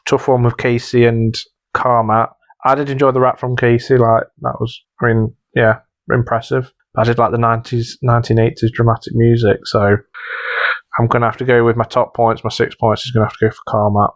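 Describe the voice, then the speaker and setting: A man's voice: medium pitch, completely flat delivery, normal speed, creaky, dry recording. A man co-hosting a podcast as the judge, thinking aloud hesitantly in casual banter. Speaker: podcast host and judge scoring contestants.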